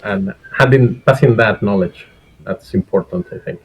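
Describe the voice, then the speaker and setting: Spanish man, relaxed and dryly joking. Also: on the low side, moderate pace, crowd noise, rough recording. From Spain. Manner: relaxed, dry humor, laughs between points. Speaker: guest engineer. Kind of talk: casual interview chat.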